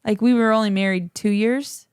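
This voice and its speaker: A woman's voice: medium pitch, steady tone, normal speed, a little mellow, clean tone, dry recording, studio quality. A woman in her thirties, a podcast guest, chatting casually and laughing often. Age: 30s. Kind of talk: casual chat.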